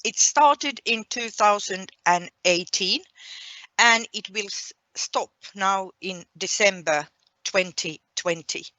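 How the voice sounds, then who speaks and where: A woman presenting a webinar as its host and coordinator, speaking in a deliberate, explanatory way. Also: medium pitch, sharp tone, no echo, dull audio. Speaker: project coordinator hosting a webinar.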